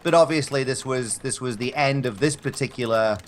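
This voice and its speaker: A man's voice: mid-range voice, a little fast, slight background noise. A man chatting casually as a guest on a live show, rambling and modest about his own work. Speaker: guest, audio drama maker.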